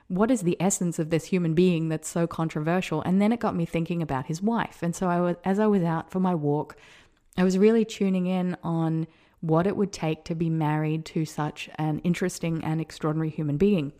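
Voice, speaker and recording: A woman's voice: on the low side, mellow. A woman in her forties from New Zealand, hosting a podcast and telling a personal story in a reflective, casual way. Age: 40s. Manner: reflective, storytelling with light laughs. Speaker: podcast host. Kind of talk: casual solo monologue.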